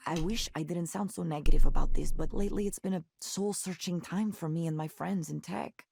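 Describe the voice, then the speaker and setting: A frank, restless woman from a visual novel. Her voice is low and gravelly with vocal fry. She talks conversationally, in an earnest and questioning manner. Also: darkish tone. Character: Nora, a frank, restless woman working in tech.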